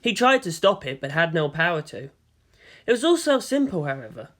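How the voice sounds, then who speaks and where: A man reading a story as narrator in a dramatic, expressive scripted style. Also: on the high side, brisk. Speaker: narrator of fan fiction.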